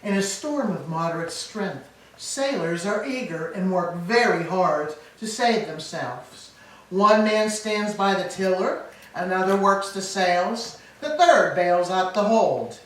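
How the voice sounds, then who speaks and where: A woman's voice: mid-range voice, expressive, slow. A woman playing a grieving, stoic old queen and grandmother in a staged reading of Greek tragedy, with formal, lamenting delivery. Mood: weary, counselling. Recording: echoey hall, distant mic, faint noise.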